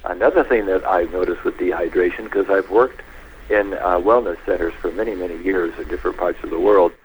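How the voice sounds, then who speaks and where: A man guest on a radio talk show, explaining health matters in a measured, anecdotal way, step by step. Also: deep voice, a little hiss or hum, poor audio.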